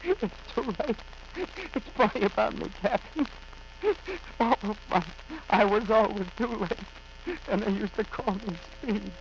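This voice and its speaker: An actor playing a despairing, guilt-ridden killer, halting and confessional, in 1940s radio drama style. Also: male voice, high voice, gravelly. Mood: desperate, agitated. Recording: muffled, noisy vintage radio recording.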